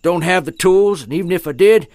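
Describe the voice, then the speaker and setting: An actor playing a grouchy, superstitious old widowed filling station owner with folksy speech in an audio drama. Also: male voice, high voice, fairly quick, darkish tone, no echo. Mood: defeated, resigned.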